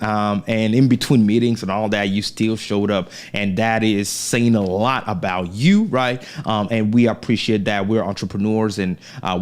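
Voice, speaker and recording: A man's voice: lowish voice, darkish tone. A man hosting an interview show, upbeat and encouraging, often ending his points with a tag question. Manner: enthusiastic, frequent tag questions. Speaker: podcast host.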